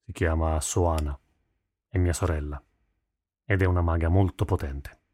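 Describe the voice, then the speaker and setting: A man voicing a gruff, protective armourer father in an audiobook, deep-voiced, halting and reluctant in manner. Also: steady tone, warm tone, no room sound, clean studio sound. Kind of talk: audiobook character voice.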